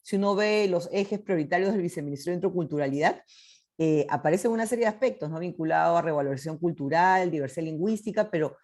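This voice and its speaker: A woman's voice: fairly low pitch, quick pace, darkish tone. A woman from Peru giving closing remarks at a panel, thoughtful, with many fillers and tag questions.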